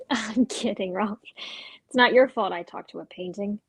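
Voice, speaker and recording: A woman's voice: mid-range voice, normal speed, gravelly, fairly bright tone, breathy edge. A woman playing a weary, wry, guarded young suburban woman in a dramatic script reading, speaking in a dry, understated way.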